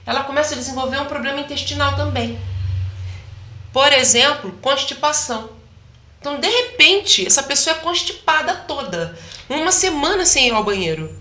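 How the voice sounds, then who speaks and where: A woman in an interview who explains how the body works in an animated, talkative way, slipping into little acted-out quotes. Her voice sits a bit high and sounds bright. The room adds a clear echo. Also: normal speed. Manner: animated, acts out quoted voices, laughs often. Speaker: health practitioner guest.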